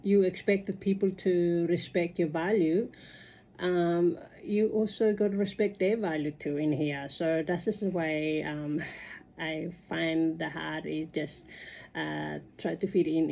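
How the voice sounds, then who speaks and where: A woman who speaks English as a second language, reflecting casually on her own experience as a migrant in a halting, rambling, earnest way. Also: sits low, steady tone, gravelly, warm tone. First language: non-native English speaker. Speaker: migrant sharing personal experience.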